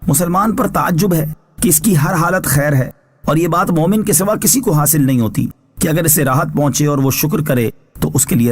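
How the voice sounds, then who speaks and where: Man, religious scholar, slightly high voice, scripture exegesis, prepared reading, formal, measured, instructive. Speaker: Islamic scholar giving Quran translation and commentary.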